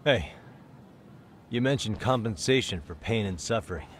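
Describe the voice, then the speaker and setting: A man's voice: on the low side, animated, moderate pace, a little hiss or hum, close to an everyday voice. An actor in his thirties playing a tough, street-smart male detective in an English game dub, wry, confident and threatening. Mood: cool menace. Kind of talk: English video game dub cutscene acting. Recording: music under the voice.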